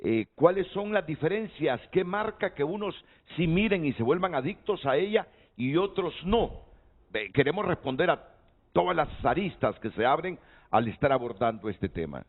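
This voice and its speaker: A man's voice: a little high, animated, moderate pace. A man hosting a TV panel, posing questions and inviting guests' opinions with hesitant restarts. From Honduras. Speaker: TV talk show host. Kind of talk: moderating a panel discussion. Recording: tinny lo-fi broadcast audio.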